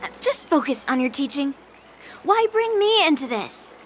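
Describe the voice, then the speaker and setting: A woman's voice: high voice, very animated, normal speed, a little hiss or hum. A woman voicing a brash, confident young female sword master in an anime-style game, blunt and teasing. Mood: annoyed, mocking.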